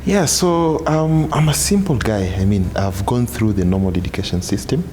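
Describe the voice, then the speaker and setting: A man's voice: on the low side, animated, normal speed, darkish tone, slight background noise. A man, apparently in his thirties, speaking about himself in a profile interview. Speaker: interviewee, banker.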